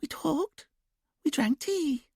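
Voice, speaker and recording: A man voicing a young woman in a hypnotic trance, who answers in a quiet, breathy, childlike sing-song. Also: very high voice, expressive, slow pace, dry recording, clean studio sound, pitched above the performer's own voice, heavily acted. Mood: dreamy, entranced.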